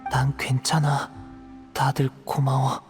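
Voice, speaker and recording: A man's voice: mid-range voice, monotone, slow pace, soft background music, lightly acted. Man voicing a subdued, withdrawn teenage boy. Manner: subdued, reticent. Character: withdrawn, apologetic, gentle teenage younger brother figure. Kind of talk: video game voice acting.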